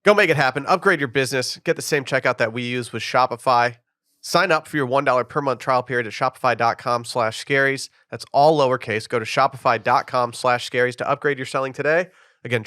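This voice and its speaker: A man's voice: mid-range voice, a bit bright, dry recording, clean studio sound. A man hosting a podcast, chatting loosely with lots of fillers and reading an ad. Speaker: podcast host. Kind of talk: casual podcast chat with ad reads.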